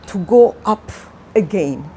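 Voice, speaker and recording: Woman, community center worker, slow and heartfelt, faint ambient hiss. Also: mid-range voice, animated, dark tone. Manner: slow, heartfelt, searching for words. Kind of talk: interview testimony.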